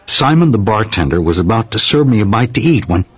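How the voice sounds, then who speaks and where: A man's voice: lowish voice, sing-song, a little fast, husky, no echo. A man playing a small-town witness who recounts uncanny events as dramatic, literary narration in an audio drama. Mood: grave, suspenseful. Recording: slightly dull audio with music behind. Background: music.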